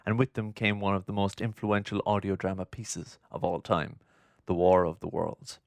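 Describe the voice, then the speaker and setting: A man's voice: low-pitched, fairly even intonation, moderate pace, no room sound, studio quality. A man narrating a scripted documentary.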